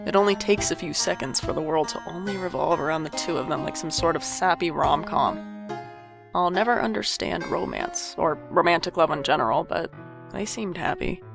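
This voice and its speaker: A woman playing a wry, guarded but caring older sibling in an audio drama, with dry, deadpan delivery. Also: low-pitched, moderate pace, vocal fry, music in the background. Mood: wry, resigned. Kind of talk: audio drama narration and dialogue.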